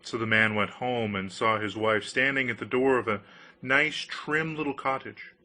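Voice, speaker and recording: A man with a very bright, forward voice narrates a storybook fairy tale. His reading is measured and expressive, at a middling male pitch. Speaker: audiobook narrator.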